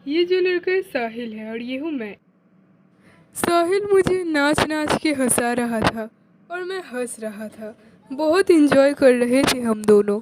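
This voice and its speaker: Woman storyteller for a cartoon, high register, scripted first-person narration as a young man. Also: expressive, measured pace, background noise.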